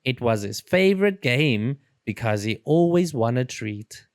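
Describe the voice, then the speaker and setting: Slow, animated storybook reader. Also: mid-range voice, no echo, clean studio sound. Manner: dramatic, deliberate storytelling for young children. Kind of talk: expressive read-aloud narration.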